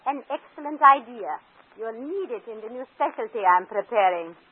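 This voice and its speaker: An actress playing an imperious, vengeful former ballerina in an old-time radio drama, commanding and coolly menacing, on a tinny old recording. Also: female voice, fairly high pitch, animated, normal speed, husky. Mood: coolly scheming.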